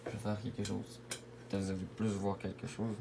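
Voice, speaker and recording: A man with a Québécois French accent giving a casual tutorial to viewers, halting and repeating his words as he goes. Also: lowish voice, little pitch movement. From Quebec, Canada. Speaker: gaming video creator. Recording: clear background noise, home-made recording.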